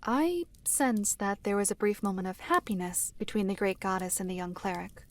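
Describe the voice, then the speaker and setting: Woman playing solemn, measured seer narrator. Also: medium pitch, natural voice. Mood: knowing. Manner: measured, formal. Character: solemn mystical female seer recounting past tragedies. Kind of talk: scripted dramatic narration.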